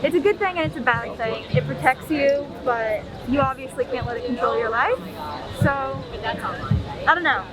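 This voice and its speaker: A woman's voice: high voice, moderate pace. A woman from New Jersey answering hesitantly and thoughtfully on the street. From Central New Jersey. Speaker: passerby. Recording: street crowd with music.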